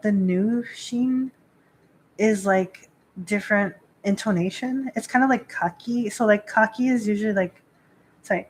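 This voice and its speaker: A woman's voice: fairly high pitch, slight background noise, low-quality recording. A woman priestess hosting a casual live stream, rambling with many fillers and frequent small laughs. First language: not Japanese.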